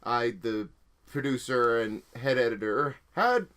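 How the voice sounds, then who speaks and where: A man's voice: mid-range voice, lively intonation, a little slow, a bit bright. A young man hosting a casual podcast, rambling through stories and laughing often. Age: young adult. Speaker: podcast host and editor. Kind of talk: casual chat.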